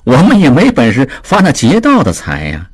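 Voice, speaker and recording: A man voicing a calm, scheming merchant in an audiobook, speaking in a smooth, slyly jovial, unhurried way. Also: medium pitch. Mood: coaxing. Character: sly, scheming male merchant, calm and calculating. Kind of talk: audiobook character voice.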